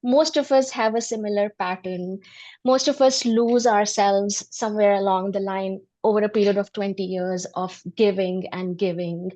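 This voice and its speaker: A woman's voice: mid-range voice, fairly even intonation, normal speed, dark tone. A middle-aged woman from Delhi, a women empowerment coach, speaking earnestly and reflectively as an interview guest, laughing often. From Delhi, India. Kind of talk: interview conversation.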